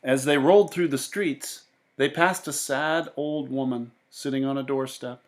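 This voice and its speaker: A man reading a picture book aloud in an expressive, deliberate way, with casual asides. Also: mid-range voice. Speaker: children's book reader.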